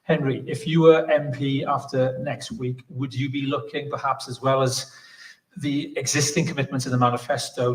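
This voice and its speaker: A man's voice: medium pitch, recorded from a distance, rough recording. A man moderating a political panel, putting questions to candidates.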